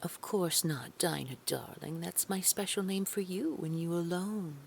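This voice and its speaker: An actress playing a controlling, menacing female boss in an audio drama, with syrupy, condescending manner and a creaky voice that drops toward a whisper. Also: on the low side, unhurried, darkish tone, slight background noise. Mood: sugary, condescending. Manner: syrupy endearments, condescending, veiled threat. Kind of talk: scripted audio drama roleplay.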